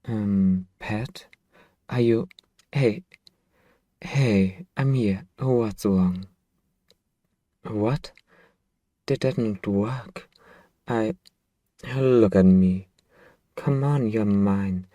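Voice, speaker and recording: A man playing a possessive, doting vampire master in an intimate, coaxing ASMR roleplay, with hushed, drawn-out phrasing. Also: lowish voice, no echo. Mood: concerned, confused. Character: possessive male vampire master, hypnotic, doting, anxiously tender.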